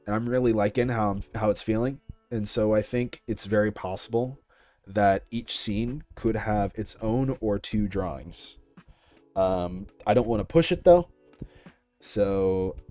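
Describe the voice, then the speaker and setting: Casting: young man, lowish voice, measured and casual online creator, closely dry recording with faint music. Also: darkish tone, dry recording. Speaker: creator being interviewed. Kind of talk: casual interview chat with YouTube-style sign-off.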